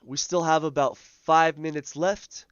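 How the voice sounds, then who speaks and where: A man hosting a live day-trading stream, his voice scratchy as he loses it, talking through charts in a relaxed way full of ums and uhs. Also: fairly high pitch, unhurried, clean tone, dry recording, lo-fi sound. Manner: relaxed, filler-heavy, thinking aloud. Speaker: day trading livestream host. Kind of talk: live market commentary.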